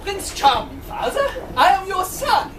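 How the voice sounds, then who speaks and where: A woman as an earnest, high-voiced pantomime prince. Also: very animated, unhurried, a crowd in the background, heavily acted. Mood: cheerful, proud. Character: earnest, polite, exasperated young prince. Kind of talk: amateur pantomime stage acting. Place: amateur show in a pub, with audience. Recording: echoey noisy room, distant mic, audience.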